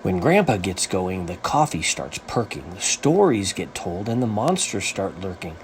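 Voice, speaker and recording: A man reading a rhyming children's story as its author, with an animated, sing-song rhythmic delivery. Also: low voice, normal speed, a little hiss or hum.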